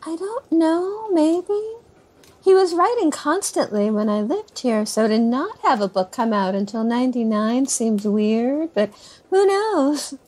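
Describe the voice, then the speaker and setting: A woman's voice: high voice, lively intonation, unhurried, a little mellow. An actress playing a candid, motherly woman, the niece of an author, chatting naturally in an audio drama interview. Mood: candid, reflective. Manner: conversational, candid.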